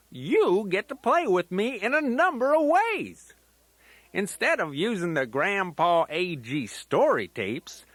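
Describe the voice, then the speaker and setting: A man's voice: high-pitched, a bit bright, dry recording, heavily acted. A man voicing a folksy, playful old grandfather teddy bear who rambles in a children's storytelling tape. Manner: folksy, rambling, sing-song rhyming asides.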